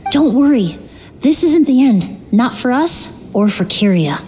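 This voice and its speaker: A woman voicing a calm, analytical young perfumer who reasons aloud in a measured way. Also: a little high, a little hiss or hum. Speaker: investigator in a game story. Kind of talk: video game voice acting. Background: faint game music.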